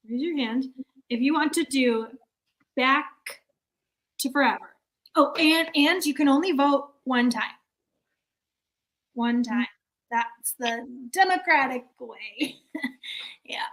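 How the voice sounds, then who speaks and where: A woman's voice: high-pitched, fairly bright tone, full character voice, rough recording. A woman playing a tipsy, haughty theater director in a comic staged reading, rambling and repeating herself.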